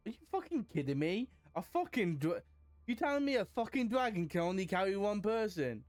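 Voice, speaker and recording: A man's voice: high voice, sing-song, a little fast, a little mellow, dry recording. A man giving casual, sweary, joking commentary on a video game he is playing. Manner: sweary, joking, hesitant muttering. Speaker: game streamer.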